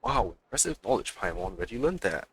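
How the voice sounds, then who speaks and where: A man's voice: low-pitched, sing-song, fast, fairly bright tone, breathy edge, no echo. A man giving casual live commentary as he streams a video game.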